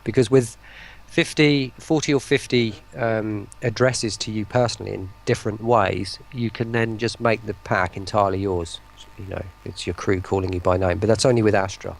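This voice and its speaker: A man speaking as an interview guest on an online call, explaining things casually in a rambling, halting way. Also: on the low side, fairly even intonation, gravelly. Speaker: interview guest, voice pack developer. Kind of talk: casual chat, explaining a product.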